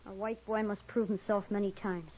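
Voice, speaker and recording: A woman's voice: mid-range voice, fairly even intonation, moderate pace, darkish tone, natural voice. A woman playing a proud, wary, stoic captive boy in an old time western radio drama. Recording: muffled old radio recording.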